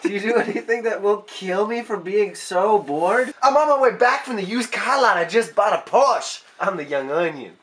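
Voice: medium pitch, expressive, moderate pace, hardly any pauses, roomy sound, natural voice. Someone doing a cocky onion puppet dude. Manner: boastful, loud, cocky slang. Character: brash young male onion puppet, swaggering. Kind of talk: comedic puppet banter.

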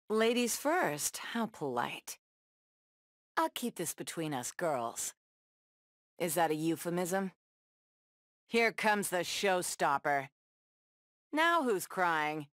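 An actress playing a tough, sardonic superheroine brawler in a video game, tossing out sassy, taunting one-liners. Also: female voice, fairly low pitch, a bit bright, no echo, clean studio sound, heavily acted. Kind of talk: video game fight banter.

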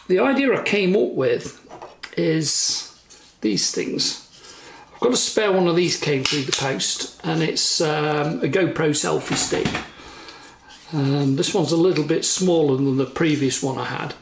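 A man's voice: a little high, creaky, reverberant, slight background noise. A man in his forties talking through a casual do-it-yourself vlog, rambling with lots of fillers and restarts, recorded on a camera mic both outdoors by a lake and in a kitchen. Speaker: vlogger.

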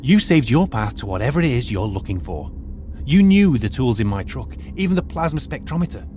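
A man's voice: mid-range voice, big pitch swings, warm tone, a little hiss or hum, lightly acted. A man playing a stern old teacher. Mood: accusing. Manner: measured, accusing, lecturing. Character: stern retired archaeologist teacher, Mr. Clark. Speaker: high school teacher and retired archaeologist. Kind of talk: audio drama acting.

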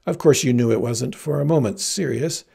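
A man voicing a haughty, lovesick teenage farm girl with affected, gushing, theatrical airs and a husky voice in a storybook reading. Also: mid-range voice, lively intonation, unhurried, dark tone, full character voice. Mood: affected airy disdain.

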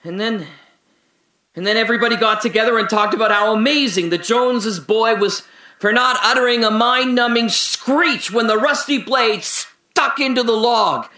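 A bitter, sardonic man held in custody rambles through a radio-drama monologue. His tone is bright and forceful, and he drifts from hushed, brooding musing into indignant outbursts. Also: extremely high pitch, normal speed. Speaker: voice actor.

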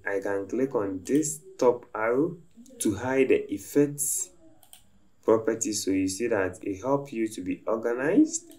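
A man calmly talking through a design software tutorial step by step as he works at his computer. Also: lowish voice. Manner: slow, step-by-step instructive talk. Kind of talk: screen-recorded software tutorial narration.

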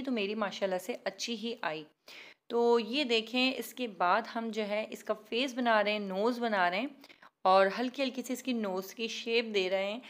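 A woman hosting a home baking tutorial in voice-over, chatty, self-mocking and pleading, laughing at her own work. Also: on the high side. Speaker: cooking channel host.

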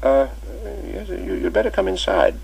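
A man's voice: high-pitched, big pitch swings, moderate pace, vocal fry, a little mellow, breathy edge, voice pushed up, full character voice, low-quality recording. A man reading an audiobook, voicing a kindly elderly male schoolmaster who hesitates with many ums and uhs. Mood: genial. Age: older.

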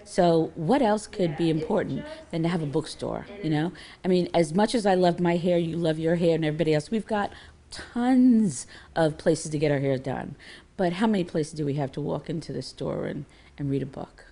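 Middle-aged woman, very low pitch, warm dark tone, clipped emphatic phrases. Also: normal speed. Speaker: community association head. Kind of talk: interview. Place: indoor bookstore.

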